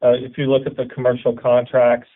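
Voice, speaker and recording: A man's voice: medium pitch, monotone, brisk, a little hiss or hum. A man, a company executive, giving unscripted answers on a business conference call. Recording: narrow phone-like line.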